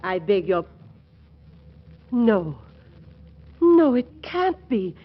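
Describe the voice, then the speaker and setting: A dull old radio recording of an older, genteel mother. She is husky and slow, halting and easily flustered. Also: mid-range voice, big pitch swings, full character voice. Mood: shocked, hesitant. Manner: halting, fluttery. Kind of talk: 1940s radio comedy acting.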